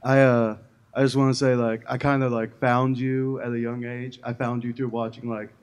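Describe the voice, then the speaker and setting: A man's voice: medium pitch, little pitch movement, moderate pace, smooth. Hesitant man asking a question. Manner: hesitant, filler-heavy. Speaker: audience member asking a question. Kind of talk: casual chat.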